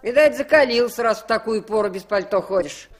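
A woman's voice: a little high, fairly quick, natural voice. Grumpy, scolding middle-aged woman played by an actress. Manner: scolding, blunt, folksy. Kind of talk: 1960s Soviet radio play acting.

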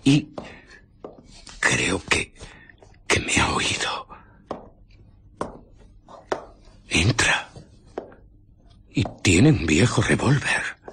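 An actor playing a ruthless, irritable fugitive scientist in a radio drama, husky and often dropping to a whisper as he recounts and justifies his deeds. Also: low voice, sing-song, very slow, pauses often, a bit bright, slight background noise, exaggerated voice. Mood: tense, furtive. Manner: dramatic, brooding, self-justifying. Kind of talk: radio drama narration and dialogue.

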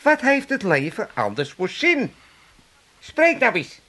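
A man's voice: high voice, wildly swooping pitch, takes it slowly, bright, forceful tone, heavily acted. An older man with an Amsterdam accent playing a cantankerous, blunt old father in a comedy radio play. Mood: argumentative. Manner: blunt, grumbling, exclamatory.

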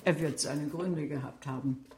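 An actress playing a wry, scheming female carer who narrates a radio crime drama in a dry, measured, sardonic way. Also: deep voice, mellow, breathy edge. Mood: coolly defiant. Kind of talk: radio play narration and dialogue.

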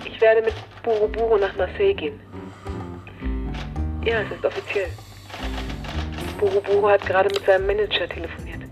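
Woman playing young defiant daughter, upset and tense, medium pitch, music behind, radio play. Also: steady tone, a little fast, long pauses, natural voice. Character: upset, resolute grown daughter of a businessman. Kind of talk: radio drama acting.

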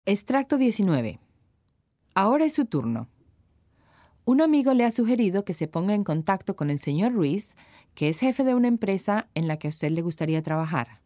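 A woman's voice: mid-range voice, sing-song, moderate pace, mellow, no echo, clean studio sound. A woman reading scripted instructions as the narrator of a language course.

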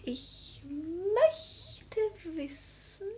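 A woman's voice: extremely high pitch, big pitch swings, very slow, whispered, exaggerated voice. An actress playing a wistful, brooding young noble lady in a classic German radio play, with lyrical, theatrical delivery. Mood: wistful, elated.

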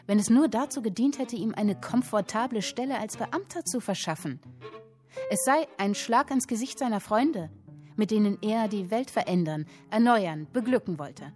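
An actress playing a captive woman who reads literary prose aloud in a radio drama, earnest, expressive and deliberate. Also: medium pitch, faint music behind, natural voice. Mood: impassioned.

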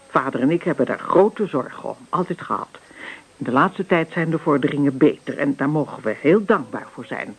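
A worried middle-aged farm mother in a radio drama. Her voice is husky and rises and falls a great deal. She admonishes gently and hesitantly, full of concern. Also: sits low. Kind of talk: Dutch radio play acting.

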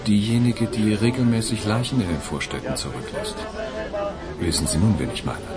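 A man's voice: lowish voice, expressive, moderate pace, loud music behind the voice, natural voice. An actor playing a menacing, smug man who makes demands in a mocking, insistent way in a German radio crime drama. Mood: coolly threatening. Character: menacing, mocking male client, smugly demanding underworld services.

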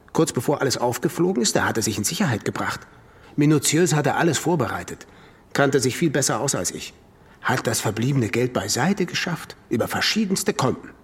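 An actor playing a defensive, indignant imprisoned swindler in a radio drama, speaking emphatically in clipped phrases. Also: male voice, mid-range voice, slightly rough, heavily acted. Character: imprisoned swindler, defensive, indignant man blaming his runaway partner. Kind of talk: German radio drama acting.

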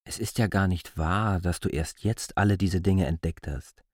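A composed, low-voiced sceptical man. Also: normal speed, breathy edge, no echo, clean studio sound. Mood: dismissive. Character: K, a sceptical, composed man dismissing a young woman's fantasies. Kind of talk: German radio play acting.

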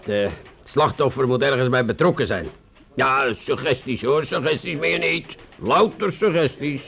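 A man with a Netherlands Dutch accent playing a condescending, weary police inspector in a radio play, sardonic and deliberate, with stammered hesitations. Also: mid-range voice, vocal fry, a bit bright. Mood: patronising.

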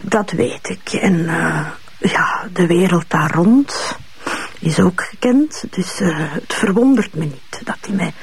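An actress playing a cool, bitter Flemish widow in a radio drama, hesitating and repeating short, evasive answers. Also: female voice, lowish voice, lively intonation, slow, creaky, breathy edge, heavily acted. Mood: guarded, disdainful. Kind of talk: police interrogation scene in a radio drama.

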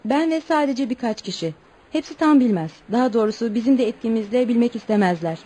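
A woman's voice: a little high, expressive, quick pace, mellow. A woman acting in a radio play as a composed, polite but outspoken young Eskimo woman. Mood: firm. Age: young adult.

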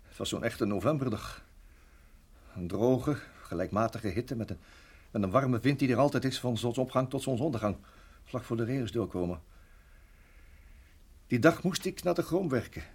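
An actor in a radio play voicing a reflective, middle-aged married farmer who reminisces in a measured, halting way. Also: male voice, mid-range voice, lively intonation, darkish tone, breathy edge. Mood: sombre, halting. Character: weary, reflective married farmer recalling his years in Rhodesia.

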